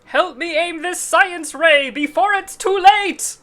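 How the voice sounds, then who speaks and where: High-pitched puppet character, acted by a woman, excitable, anxious, drawn-out delivery, exaggerated, puppet skit. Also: soft background music, full character voice. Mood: urgent, excited. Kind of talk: puppet show dialogue.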